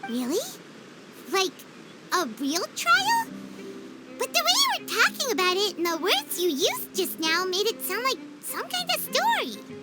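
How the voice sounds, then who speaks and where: A woman voicing a tiny, excitable floating fairy companion in a video game, chirpy and talking about herself in the third person. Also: extremely high pitch, measured pace, heavily acted, rough recording. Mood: curious. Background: game score music.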